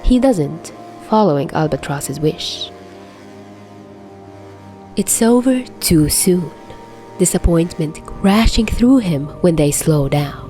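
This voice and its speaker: A woman reading a story aloud as an expressive fiction narrator. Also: lowish voice, slow pace, long pauses, soft background music.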